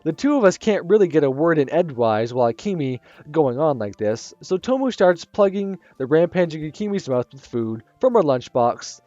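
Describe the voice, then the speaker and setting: Calm male narrator reading game text, higher voice, dry close sound. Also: no echo, lo-fi sound. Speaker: game streamer. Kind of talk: reading visual novel narration aloud.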